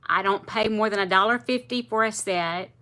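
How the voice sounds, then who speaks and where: Woman, late fifties, livestream host; bright, chatty, rambling delivery. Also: mid-range voice, moderate pace. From South Louisiana, US. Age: 50s. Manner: chatty, rambling, laughs easily. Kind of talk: casual livestream chat with viewers.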